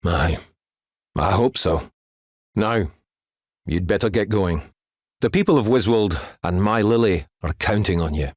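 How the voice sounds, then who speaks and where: A man's voice: sits low, expressive, dry recording, studio quality. A man with a Scottish accent playing an earnest, weary wizard mentor in a video game cutscene. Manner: explanatory, measured, folksy.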